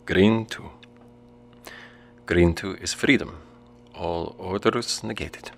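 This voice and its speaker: An actor playing a desperate, frightened father in an audio drama, speaking in a halting, emotionally raw way. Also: low-pitched, gravelly, full character voice. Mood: flat, resigned.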